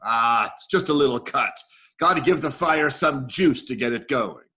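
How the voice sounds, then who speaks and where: A man's voice: mid-range voice, expressive, moderate pace, bright, forceful tone. A man in a staged play reading, playing a macho G.I. Joe soldier doll, cocky and protective, with tough-guy endearments. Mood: flirty, boastful.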